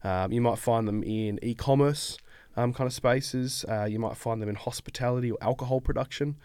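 A man's voice: mid-range voice, fairly quick, darkish tone, clean tone, no echo. A man in his thirties with an Australian accent, a guest on a podcast, talking in a laid-back, rambling way with lots of fillers. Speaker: guest, accounting firm owner. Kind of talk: podcast interview. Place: studio.

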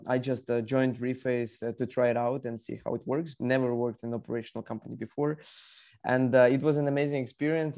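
A man's voice: mid-range voice, mellow. A young man from Ukraine with a Ukrainian accent, answering as an executive guest in a conversational podcast interview. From Kyiv, Ukraine.

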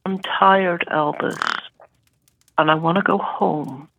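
Comedy sketch: fed-up ancient phoenix voiced by a woman, plaintive, dragging delivery, slightly low, lo-fi recording. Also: fairly even intonation, gravelly, fairly bright tone, no echo, heavily acted. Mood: weary, bitter. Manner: slow, aggrieved, plaintive. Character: weary, resentful ancient phoenix familiar. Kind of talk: improvised comedy audio sketch.

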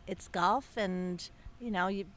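A woman's voice: medium pitch, fairly even intonation, slow pace, a bit bright. A woman, a guest on a golf podcast, talking in an easygoing, encouraging way. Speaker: guest, avid golfer. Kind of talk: casual interview answers.

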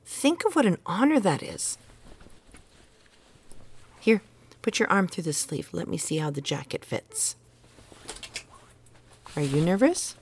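A low-voiced, insistent mother. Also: sing-song, slow, long pauses, a little mellow, slight background noise, heavily acted. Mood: earnest, reassuring. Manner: insistent, instructive, earnest. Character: earnest, exacting mother devoted to tradition. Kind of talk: naturalistic audio drama dialogue.

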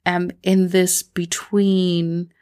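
A woman's voice: lowish voice, little pitch movement, slow pace. A woman in her thirties, born in Colombia, hosting a podcast and telling personal stories in a reflective, chatty way. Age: 30s. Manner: warm, reflective, chatty with fillers. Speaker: podcast host. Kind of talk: personal storytelling monologue.

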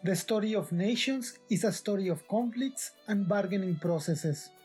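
A man hosting a podcast, asking questions and reading a formal scripted outro in a deliberate way. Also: sits high, darkish tone, husky, soft background music. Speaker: podcast host.

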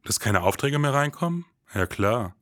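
A man's voice: medium pitch, sing-song, normal speed, a bit bright, no room sound. An actor playing a laconic detective who narrates his case in an audio drama with terse, dry phrasing. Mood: casual.

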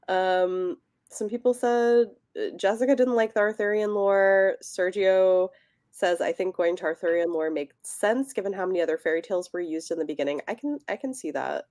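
A woman's voice: mid-range voice, normal speed, a little mellow. A woman co-hosting a podcast, discussing books casually in a tentative, meandering, conversational way. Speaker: podcast co-host.

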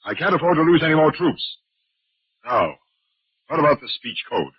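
An actor playing a confident, imperious alien invasion commander in a 1950s radio drama, with clipped, formal military speech. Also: male voice, on the high side, very animated, moderate pace, slightly rough, no echo, exaggerated voice. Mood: commanding. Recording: muffled vintage 1950s radio broadcast.

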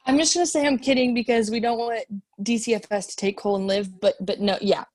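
A woman's voice: fairly high pitch, huge pitch swings, moderate pace, no echo. Laughing, blunt young woman co-host. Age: 20s. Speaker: podcast co-host. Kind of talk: casual chat.

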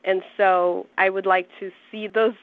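A woman's voice: medium pitch, little pitch movement, unhurried, dry recording. Brazilian-born woman journalist, thoughtful interview guest on a phone line. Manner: earnest, thoughtful, building arguments with frequent restarts. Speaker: journalist and researcher. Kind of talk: interview answer.

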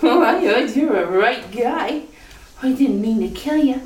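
A woman's voice: fairly high pitch, lively intonation, unhurried, a little mellow. A woman playing a tough but lovesick young gun moll in a retro radio play, with slangy talk that breaks into sobbing. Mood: weepy. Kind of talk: 1940s radio acting. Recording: roomy echo from far off the mic.

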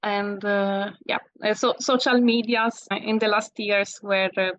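A woman in her thirties with an Italian accent, answering interview questions in halting English with many restarts. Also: medium pitch, fairly even intonation, fairly bright tone, no echo. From Italy. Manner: halting, many restarts and fillers, laughs often. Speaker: guest, landscape photographer.

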